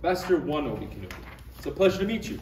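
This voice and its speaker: A distant, echoey recording carries a man acting a friendly Jedi master in a sci-fi parody. His fairly high, animated voice is playful and easily impressed. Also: racing. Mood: affable, curious. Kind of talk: live table read of parody script. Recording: echoey room, distant mic, clear noise.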